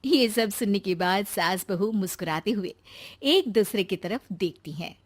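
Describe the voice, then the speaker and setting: A woman's voice: mid-range voice, lively intonation, measured pace. A woman narrating a scripted cartoon story as its storyteller.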